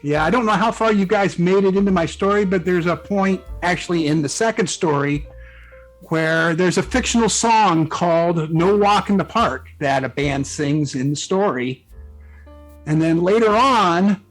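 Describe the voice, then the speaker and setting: Higher-pitched man, casual guest author. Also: normal speed, poor audio. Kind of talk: casual interview talk. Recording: music playing behind the voice. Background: background music.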